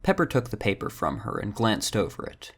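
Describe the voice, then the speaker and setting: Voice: medium pitch, huge pitch swings, fairly quick, gravelly, slightly rough. A narrator reading scripted narration for a fantasy audio drama.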